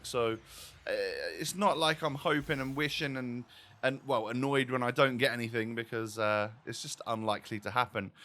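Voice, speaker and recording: A man's voice: medium pitch, pushed and bright. A man hosting a gaming video with casual, chatty, rambling and self-deprecating commentary.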